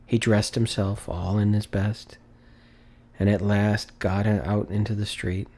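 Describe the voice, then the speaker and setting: A male audiobook narrator with a fairly low voice. He reads classic fiction in a dramatic storybook style. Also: flat delivery, slow, warm tone.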